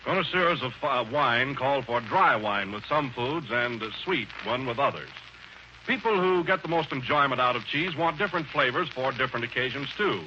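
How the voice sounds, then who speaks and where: A man's voice: mid-range voice, animated, normal speed, pushed and bright. A man reading a scripted commercial as an old-time radio announcer. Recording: dull old radio recording, faint noise.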